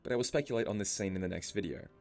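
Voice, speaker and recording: A man narrating a scripted story explainer in a measured, musing way, posing rhetorical questions. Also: fairly low pitch, gravelly, darkish tone. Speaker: video essay narrator. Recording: music under the voice. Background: background music.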